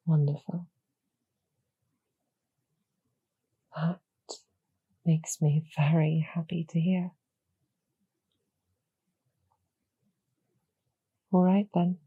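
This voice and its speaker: A woman playing a calm, courteous female demon general in a roleplay audio, speaking softly with measured, gently formal persuasion and a husky, creaky edge. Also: on the low side, no echo, narrow, tinny audio, exaggerated voice. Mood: pleased, soft. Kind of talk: slow, soothing scripted roleplay audio for sleep.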